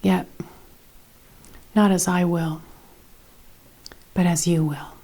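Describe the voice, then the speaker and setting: A woman voicing a sorrowful, resolute Jesus in a dramatized scripture reading, solemn, measured and often hushed. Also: lowish voice, flat delivery. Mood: anguished, hushed.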